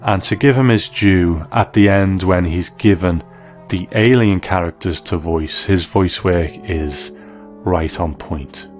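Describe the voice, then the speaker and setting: A male reviewer with a low voice gives scripted commentary. Faint music plays behind him as he presents. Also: measured pace, a little mellow.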